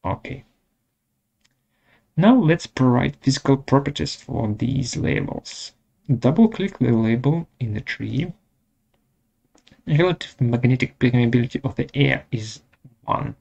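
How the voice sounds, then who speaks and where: Slow, gravelly man presenting a software tutorial. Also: medium pitch, animated. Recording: dull lo-fi audio.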